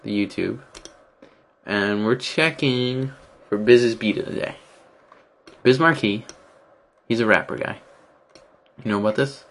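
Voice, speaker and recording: A man chatting casually, asking teasing deadpan questions. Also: lowish voice, unhurried, long pauses. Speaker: co-host. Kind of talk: casual chat.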